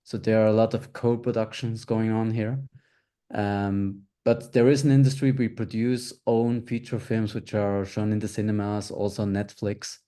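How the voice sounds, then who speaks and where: Low, mellow-voiced man from Switzerland. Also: flat delivery. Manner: measured, plain answers with fillers. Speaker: film producer, guest. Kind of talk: interview answers.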